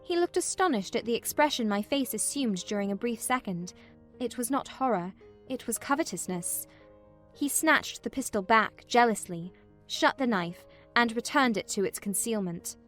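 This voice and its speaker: A woman voicing a despairing, proud and weary young gentlewoman who tells her story expressively in a dramatized novel reading. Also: on the high side, expressive, moderate pace, soft background music. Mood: intrigued. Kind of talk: dramatized audiobook narration of a classic novel, in first person.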